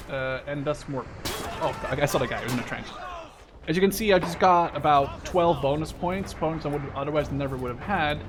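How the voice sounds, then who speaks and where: A man in his twenties from the Netherlands giving casual gameplay commentary, rambling and hesitant, often breaking off mid-sentence. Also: fairly high pitch. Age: 20s. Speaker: gaming video creator. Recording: loud gunfire and artillery noise. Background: video game gunfire, artillery and machine guns.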